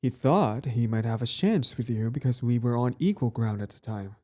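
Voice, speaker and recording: A man's voice: mid-range voice, normal speed, mellow, natural voice. A man playing a cocky but easily flustered villain devoted to a date, in a casual, wry romantic audio roleplay. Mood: bitter, scheming. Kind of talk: one-sided romantic audio roleplay, conversational.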